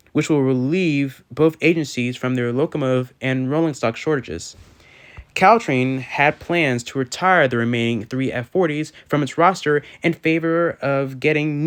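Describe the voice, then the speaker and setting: A man with a voice a little on the high side narrates an informational video. He reads formally and carefully from a script, now and then tripping on a word and picking it up again. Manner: formal, careful reading with occasional stumbles. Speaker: railfan video host.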